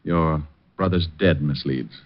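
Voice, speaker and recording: A man's voice: extremely low pitch, unhurried, very noisy. An actor playing a dry, sardonic private detective who narrates his own case in a 1950s radio drama. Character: wisecracking, unflappable male private eye. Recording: muffled old radio broadcast.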